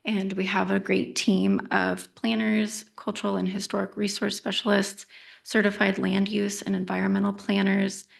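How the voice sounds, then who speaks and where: A woman consultant presenting her firm at a council meeting, soft-spoken and quiet. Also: mid-range voice, monotone, moderate pace, vocal fry.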